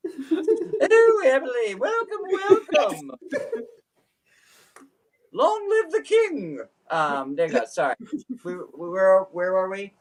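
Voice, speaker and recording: A man's voice: very high voice, very animated, slow, long pauses. A man hosting a casual live-stream chat, jokey and rambling, with many fillers and restarts. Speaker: host.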